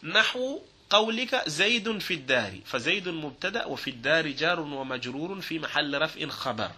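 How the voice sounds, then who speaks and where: A man's voice: a little high, lively intonation, normal speed, sharp tone. A man teaching Arabic grammar in a recorded lesson, explaining step by step through worked examples and asking and answering his own questions.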